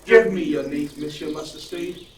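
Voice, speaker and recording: A man's voice: on the high side, moderate pace, a little mellow, lightly acted. A man playing a self-important, courteous buffoon turned ass in a comic Shakespearean audio drama, speaking with hesitations. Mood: lordly contented. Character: pompous male buffoon with an ass's head. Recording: roomy, distant, faint noise.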